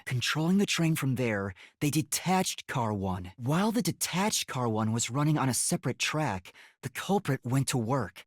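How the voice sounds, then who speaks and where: An earnest young detective from a video game, boyish and slightly husky. His delivery swings widely in pitch, timid at heart yet determined, with every word carefully placed. The recording is close and dry. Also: no room sound. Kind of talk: English video game voice acting, scripted.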